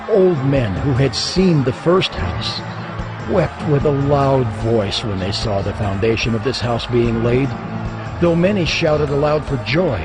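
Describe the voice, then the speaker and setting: A man narrating scripture as a scripted dramatized reading, solemn and expressive in his storytelling. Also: medium pitch. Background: music.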